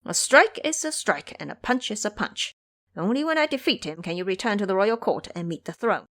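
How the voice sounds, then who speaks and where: A woman's voice: mid-range voice, lively intonation, fairly quick, a bit bright, close to an everyday voice. A woman voicing a cocky, teasing male monkey king in an audio drama, boastful, preachy and theatrical in manner. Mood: firm.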